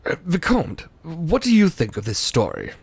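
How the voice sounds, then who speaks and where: An actor playing an affable middle-aged doctor in a radio drama. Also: male voice, on the high side, huge pitch swings, moderate pace, husky, heavily acted.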